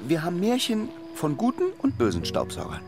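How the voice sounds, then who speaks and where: An actor playing a tiny, polite alien gentleman in a children's radio play, formal, precise and very earnest. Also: on the high side, huge pitch swings, a little fast, creaky, music in the background, exaggerated voice.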